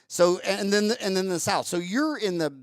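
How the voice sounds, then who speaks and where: A man's voice: sits high, moderate pace, no echo, clean studio sound. A man hosting an interview podcast, rambling and searching for words with many ums. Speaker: podcast host. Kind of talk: casual interview chat.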